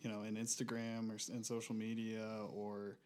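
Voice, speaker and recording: A young man speaking as a podcast guest, reflective and hesitant, working through his thoughts with frequent pauses. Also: lowish voice, completely flat delivery, a little mellow. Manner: reflective, hesitant, many fillers and restarts. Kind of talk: casual conversation.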